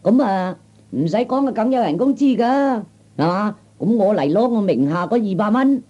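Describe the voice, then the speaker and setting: A man's voice: sits high, expressive, moderate pace, dark tone, lo-fi sound. A man voicing a pushy, nosy male crony who needles and demands, in a Cantonese radio comedy from the 1960s. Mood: pushy, needling. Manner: needling, insistent questioning.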